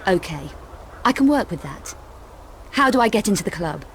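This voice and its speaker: A cool adventurer in a game cutscene, dry and clipped with a wry edge. Her voice is brighter and higher than the man she trades lines with. Faint traffic hum sits behind her. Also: sing-song, moderate pace. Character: cool, daring female adventurer on the run. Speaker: lead character. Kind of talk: video game cutscene acting.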